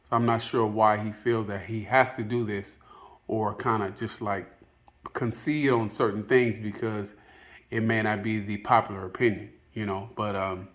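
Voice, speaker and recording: A man's voice: on the low side, fairly even intonation, moderate pace, a bit bright. A man giving casual, unscripted opinion commentary as a video host, rambling and hesitant, often padding with filler phrases. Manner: hesitant, rambling, peppered with "you know".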